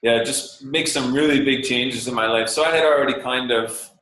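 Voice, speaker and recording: A man's voice: mid-range voice, steady tone, moderate pace, fairly bright tone. A young Canadian man talking casually as an interview guest, thoughtful and rambling, with many fillers and restarts. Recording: roomy echo.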